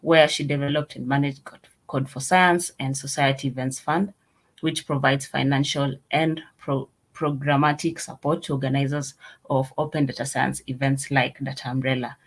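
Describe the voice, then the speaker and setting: Halting meetup host reading introductions. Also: medium pitch, flat delivery. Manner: halting, with restarts and fillers.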